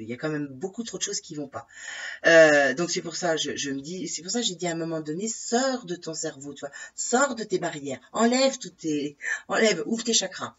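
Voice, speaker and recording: A woman's voice: sits low, slightly rough. A woman with a head cold, ranting indignantly in a casual monologue to her followers, repeating herself and asking pointed questions. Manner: indignant, exasperated, repeats herself, many fillers and tag questions. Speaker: vlogger addressing her followers. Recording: distant, dull lo-fi recording.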